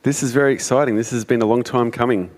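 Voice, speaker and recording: A man's voice: medium pitch, fairly even intonation, fast, a little mellow, big-room sound. A man interviewing a guest in a church, encouraging and affirming. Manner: encouraging, affirming with frequent yeahs. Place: church sanctuary.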